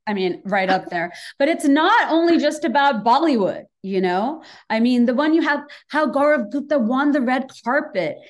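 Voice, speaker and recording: A woman's voice: sits high, expressive, moderate pace, few pauses. A woman hosting a casual podcast interview, rambling enthusiastically and asking questions. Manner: rambling, enthusiastic, full of fillers. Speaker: podcast host.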